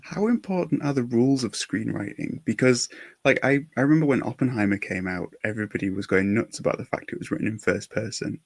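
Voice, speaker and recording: A man's voice: medium pitch, animated, quick pace, creaky, husky, no room sound. A man hosting a podcast interview, asking his questions in a casual, conversational way.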